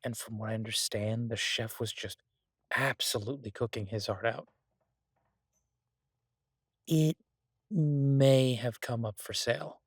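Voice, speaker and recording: A man playing a laid-back, idealistic chef in an audio roleplay, talking casually with hesitant pauses and mild swearing. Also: mid-range voice, fairly even intonation, slightly rough, dry recording, clean studio sound. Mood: quietly enthusiastic. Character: laid-back, idealistic male chef, devoted to honest food. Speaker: chef and restaurant owner character in an audio roleplay. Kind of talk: intimate conversational audio roleplay.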